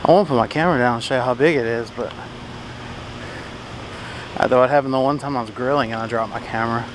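A young man talks to his camera in a loose, rambling travel-vlog style. His voice sits at an ordinary middle pitch. The recording carries clear noise from a vehicle and the outdoors. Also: fast. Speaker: vlogger. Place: parked truck cabin and outdoors on trails. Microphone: handheld or propped camera.

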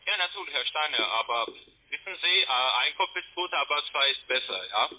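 A man's voice: a little high, expressive, normal speed. A man speaking non-native German with a foreign accent, a polite call-centre agent giving instructions and adding questioning tags. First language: not German. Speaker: call centre account manager. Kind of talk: scripted-sounding phone sales and support. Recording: tinny band-limited phone line.

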